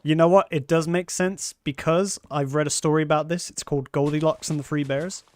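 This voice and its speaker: A man's voice: on the high side, fairly quick. A man chatting casually and joking with friends during a livestream. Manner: joking, animated storyteller. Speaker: livestream gamer. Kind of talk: casual chat.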